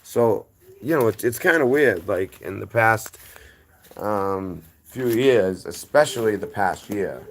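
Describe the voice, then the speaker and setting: Deep-voiced man with a Boston accent. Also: animated, takes it slowly. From inner-city Boston. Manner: rambling, drifting between tangents and correcting himself. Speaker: guest. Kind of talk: casual storytelling.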